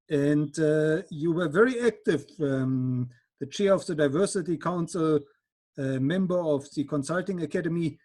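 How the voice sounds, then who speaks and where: A man's voice: a little high, a little mellow. A man interviewing a guest, asking questions politely and formally.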